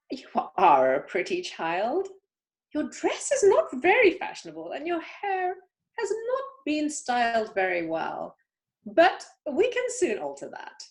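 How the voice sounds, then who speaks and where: Woman playing imperious aunt, wildly swooping high voice. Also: full character voice. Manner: haughty, emphatic, halting delivery with stumbles. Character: imperious, snobbish older upper-class aunt, grandly judging everyone. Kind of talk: amateur play read-through.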